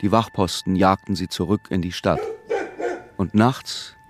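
Sombre male narrator, low pitch, measured, literary radio play, music behind. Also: fairly even intonation. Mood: grave, measured. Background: music under the voice.

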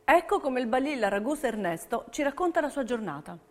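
A woman narrating scripted documentary theatre in a solemn, measured way. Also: sits high, sing-song. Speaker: stage narrator.

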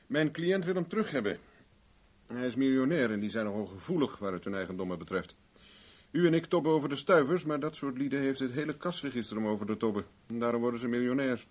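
A man's voice: medium pitch, a little mellow, lightly acted. Dry-witted male actor as private investigator. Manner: formal, sardonic, questioning. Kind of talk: Dutch 1970s radio play dialogue.

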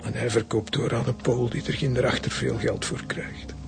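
A man with a Flemish accent playing a defensive, down-on-his-luck petty thief who talks haltingly and colloquially in a radio crime drama. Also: medium pitch, steady tone, vocal fry, music in the background, close to an everyday voice. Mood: weary, confessing.

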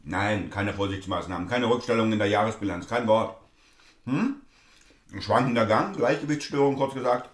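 A man's voice: on the low side, normal speed, echoey room, natural voice. An actor playing a brusque, cynical lawyer and father in a naturalistic stage drama, clipped and dismissive. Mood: brusque, businesslike. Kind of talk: naturalistic stage-play dialogue acting.

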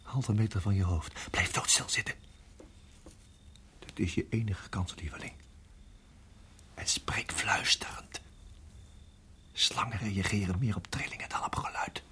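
A man acting in a radio play as a protective husband, speaking in a halting, urgent hush. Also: on the low side, steady tone, breathy edge, heavily acted. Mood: tense, hushed. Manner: hushed, halting, urgent whispering. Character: tense, protective husband guiding his endangered wife.

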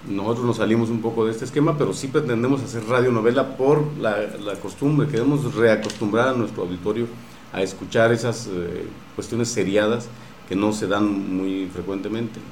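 A man with a Mexican accent explaining things in an interview, pausing often to search for words. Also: on the low side, darkish tone. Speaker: radio drama producer at a university station. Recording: roomy echo with faint background noise.

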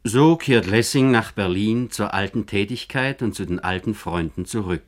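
A man narrating a scripted literary radio documentary in a formal, measured manner. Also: lowish voice.

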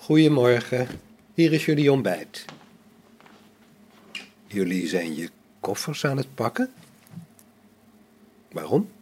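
A man acts out a robot in a radio drama, devoted to its maker and serenely pious. It talks slowly at a middling pitch. Its manner is solemn, formal and measured. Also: sing-song, a little mellow, slight background noise. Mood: calm inquiry. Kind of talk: radio play acting.

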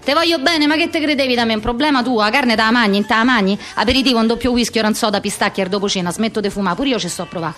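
A woman's voice: fairly high pitch, rapid-fire, few pauses, a bit bright, natural voice. An actress playing a neurotic, overwhelmed Roman street woman, rattling off staccato lists in a theatrical monologue with a Roman accent. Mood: restless, anxious. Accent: Roman Italian. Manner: rambling, staccato lists of short phrases.

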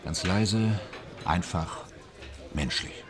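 A man playing a brooding, uneasy film actor in a German radio drama, understated and quietly earnest. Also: low-pitched, drawn-out words, a little hiss or hum. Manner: restrained, understated.

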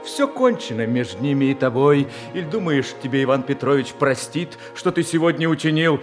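A man's voice: mid-range voice, lively intonation, moderate pace, soft background music, close to an everyday voice. An actor playing a firm nobleman in a classical radio drama, speaking in an admonishing manner. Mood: stern admonishing. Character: firm nobleman laying down that all is finished. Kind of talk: Russian classical stage acting, radio play.

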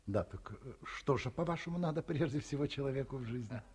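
A sly, probing older father with a husky voice. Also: mid-range voice, expressive, fast talker, darkish tone. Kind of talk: 1950s radio drama acting.

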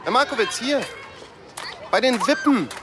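A man's voice: very high voice, expressive, measured pace, crowd noise, noisy background. An actor playing a meek, anxious young father, polite and hesitant, in a satirical radio play. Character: meek, anxious young father, polite subordinate under his wife's thumb.

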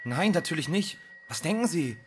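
Over faint ambient noise comes a man acting an earnest teenage boy in a stage drama. His voice sits a little high, and he pleads stubbornly, halting and repeating himself. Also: lively intonation, lightly acted. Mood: earnest, pleading.

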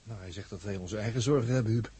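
A man playing an uneasy astronaut with a husky voice, muttering hesitantly in a 1960s radio drama. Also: lowish voice, fast talker, mellow. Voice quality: husky, raspy. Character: uneasy male astronaut watching a crewmate through a crystal.

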